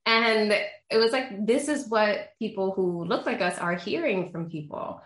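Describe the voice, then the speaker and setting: A woman's voice: mid-range voice, a little slow. A young woman from Honduras whose first language is Spanish, a therapist telling her story candidly in a casual interview. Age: young adult. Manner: candid, lively storytelling with frequent fillers. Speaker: therapist guest. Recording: roomy echo.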